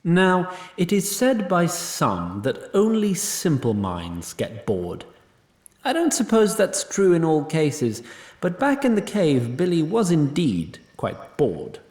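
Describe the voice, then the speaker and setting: A man narrating a scripted fantasy story in a wry, measured way. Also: on the high side, wildly swooping pitch, a little mellow, reverberant.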